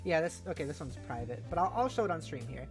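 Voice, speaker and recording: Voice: medium pitch, big pitch swings, quick pace. A streamer host chatting casually and animatedly with viewers on a livestream. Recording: music playing behind the voice.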